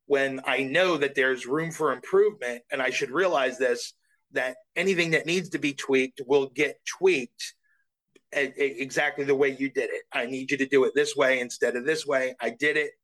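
Earnest middle-aged man, halting restarts. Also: medium pitch, a bit bright, rough recording. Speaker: voice actor guest. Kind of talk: casual interview chat.

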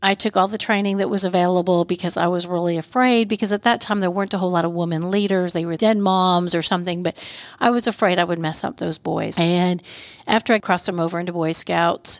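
A woman's voice: on the low side, dry recording. Middle-aged woman telling personal stories, dry close sound. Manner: earnest, anecdotal, quotes dialogue in stories. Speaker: podcast guest, school board member and scout leader. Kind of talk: conversational personal storytelling.

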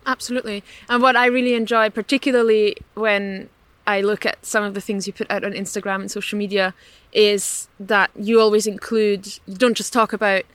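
A woman's voice: medium pitch, flat delivery, a little fast, fairly bright tone, no echo. A woman whose first language is German, hosting a podcast interview in a conversational, appreciative way. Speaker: podcast host.

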